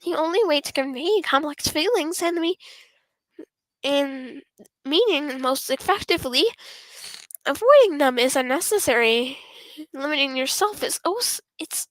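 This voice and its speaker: A woman's voice: sits high, animated, a little slow, fairly bright tone, no echo, rough recording. A woman voicing a prim, articulate, condescending literary schoolgirl in a visual novel, speaking formally as if lecturing. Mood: lecturing, insistent.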